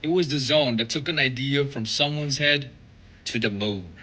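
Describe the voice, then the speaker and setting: Bright, husky-voiced male documentary narrator. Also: medium pitch, normal speed, low-quality recording. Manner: dramatic, rhetorical delivery.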